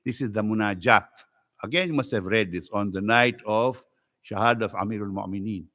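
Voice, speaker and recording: A man from Africa, a native Swahili speaker, preaching a religious sermon as a visiting scholar to a congregation, earnest and rising in pitch as he tells stories. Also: fairly quick, fairly bright tone.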